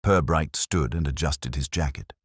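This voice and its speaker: Very deep, husky male narrator. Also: moderate pace, dry recording, studio quality. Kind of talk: scripted audiobook narration of a detective story.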